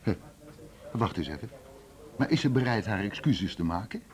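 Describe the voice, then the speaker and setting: A man's voice: lowish voice, lively intonation, normal speed, a little mellow, lightly acted. A man playing a sarcastic, indignant teacher. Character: indignant, sarcastic male music teacher Joop. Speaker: music teacher. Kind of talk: radio drama acting.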